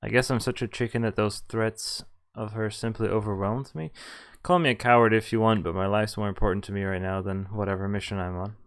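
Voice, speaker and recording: A man's voice: fairly low pitch, fairly bright tone, smooth. A man reading a visual novel aloud as a streamer, casually, stumbling now and then and dropping in asides. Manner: stumbles over read lines, interjects asides. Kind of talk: casual playthrough read-aloud commentary. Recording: music behind the voice. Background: game music.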